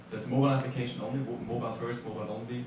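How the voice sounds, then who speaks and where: A man's voice: mid-range voice, level tone, very fast. A man from Switzerland, a startup executive, introducing himself on a panel with many fillers and restarts. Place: conference hall. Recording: echoey hall from far off, noisy.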